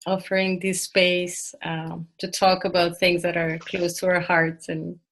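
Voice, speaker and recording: A woman in her thirties from Colombia, raised speaking French and Spanish, answers interview questions in a soft, thoughtful way. Her low voice has a gravelly fry. Her pitch stays level, with little rise and fall. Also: little pitch movement, a little slow, slight background noise. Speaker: interview guest. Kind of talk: casual interview conversation.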